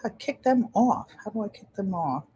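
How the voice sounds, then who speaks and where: Gentle-voiced woman in her seventies. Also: mid-range voice, animated, moderate pace, husky. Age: 70s. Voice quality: gentle, pleasant voice. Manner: chatty, rambling, repeats phrases. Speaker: channel host. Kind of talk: casual livestream chat.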